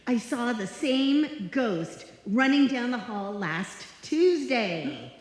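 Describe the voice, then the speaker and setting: A woman plays a sensible, easily flustered young roommate in a radio comedy. Her high voice jumps around with exasperation and rings through a large, echoing hall. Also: big pitch swings, takes it slowly. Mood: confiding. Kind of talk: live old-time radio comedy acting. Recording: echoey hall, live stage performance.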